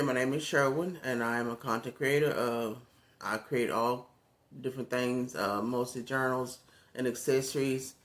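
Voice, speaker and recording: Man, raspy, gravelly, casual phone vlog, rambling with fillers. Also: medium pitch. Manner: relaxed and rambling, with many fillers. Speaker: content creator. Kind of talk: casual talk to camera.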